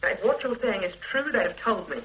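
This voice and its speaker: A woman's voice: medium pitch, animated, fairly quick, a bit bright, natural voice, low-quality recording. An actress in a radio drama playing a young mother in her thirties who answers police questions in a defensive, tense way. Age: 30s. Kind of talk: 1980s radio drama acting.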